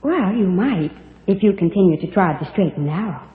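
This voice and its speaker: A woman's voice: medium pitch, lively intonation, normal speed, lightly acted. Actress as a prim, echoing angelic young woman. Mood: tender, composed. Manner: polite, precise, gently proper. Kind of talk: 1940s radio drama acting. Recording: tinny, echoing vintage radio recording.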